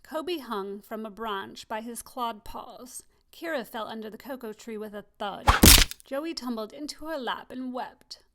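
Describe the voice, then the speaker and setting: Lady with a high voice reading a kids storybook out loud, lots of expression. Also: slight background noise, rough recording. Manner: expressive, slowing down for emphasis. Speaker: storybook reader. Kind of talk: children's story read-aloud.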